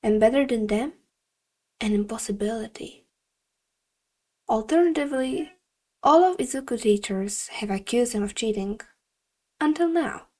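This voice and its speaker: A woman reading a story aloud as scripted narration, in a measured and expressive storytelling manner. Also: on the high side. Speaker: podfic reader.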